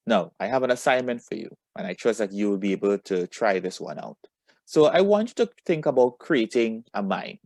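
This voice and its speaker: A man teaching a lesson to students, asking questions and giving instructions with deliberate emphasis and encouragement. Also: mid-range voice, a little mellow, no echo.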